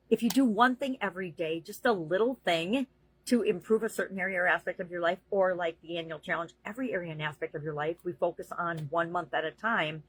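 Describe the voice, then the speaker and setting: A woman's voice: fairly low pitch, husky, no room sound, rough recording. A middle-aged woman talking casually in a daily motivational vlog as a business coach, earnest and conversational with frequent fillers.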